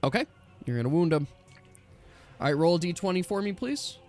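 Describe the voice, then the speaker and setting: A man with a fairly high voice runs a tabletop role-playing game in a casual, chatty way. He explains rules and turns as game master, with faint music behind him. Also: big pitch swings, a little fast, a little mellow.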